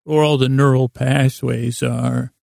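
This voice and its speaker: A man with a very creaky, mellow voice rambles through an improvised bedtime monologue. He mumbles in a lulling, soothing way, halting and drifting mid-thought. The recording is very dry, with faint music underneath. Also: medium pitch, level tone, dry recording. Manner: halting, meandering, often trailing off mid-thought. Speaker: host of a bedtime story podcast.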